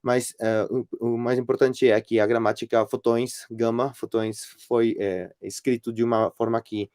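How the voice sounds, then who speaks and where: A middle-aged man with a Spanish accent, speaking as a non-native and giving a workshop presentation as guest lecturer, halting often with fillers and restarts. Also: medium pitch, little pitch movement, a little mellow, no echo, studio quality. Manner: hesitant, many restarts and fillers.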